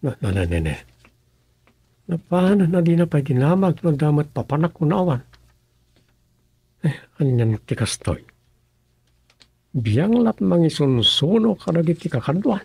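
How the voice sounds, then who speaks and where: A man as a husky, gravelly Ilocano grumbler. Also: fairly high pitch, big pitch swings, measured pace, pauses often, a little mellow, no echo, heavily acted. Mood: muttering. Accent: Filipino, Ilocano. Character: local man musing and grumbling about modern life. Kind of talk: radio drama comedy acting.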